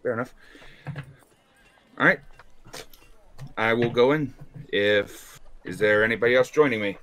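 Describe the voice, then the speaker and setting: Casting: male gamer, medium pitch, casual and deliberate as he talks through his moves. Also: fairly bright tone, slight background noise. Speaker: tabletop game player. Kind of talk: casual in-game chat.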